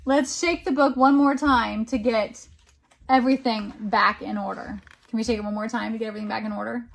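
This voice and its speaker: A woman's voice: a little high, fairly even intonation, a little fast, creaky, a little hiss or hum. A woman reading a picture book aloud to a young child as a teacher, with encouraging, exclamatory delivery. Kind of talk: interactive children's storytime read-aloud.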